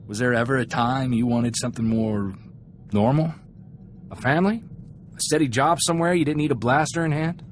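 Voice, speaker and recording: A man's voice: medium pitch, brisk, close to an everyday voice. An actor playing an earnest, idealistic young freighter crewman in a video game, talking in a folksy, chatty way. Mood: reflective, sincere. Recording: clear background ambient noise.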